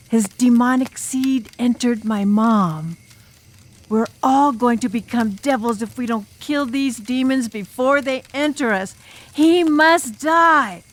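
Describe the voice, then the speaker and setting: A woman playing an intense, enigmatic girl drum major who tells a scary tale dramatically in an audiobook. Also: fairly high pitch. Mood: urgent, ominous. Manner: dramatic, ominous, deliberate.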